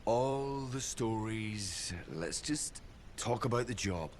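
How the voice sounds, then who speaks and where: An actor playing a jaded, sardonic agent fallen from favor in a video game, dry in manner. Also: male voice, on the low side, lively intonation, takes it slowly, a little hiss or hum. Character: jaded sardonic male corporate agent in disgrace. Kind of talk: video game character dialogue.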